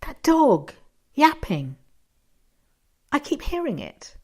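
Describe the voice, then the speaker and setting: A woman's voice: sits high, wildly swooping pitch, slow pace, warm tone, voice pushed up, heavily acted. A woman storyteller voicing a blind married woman, anxious and insistent, in a short story. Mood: urgent, insistent.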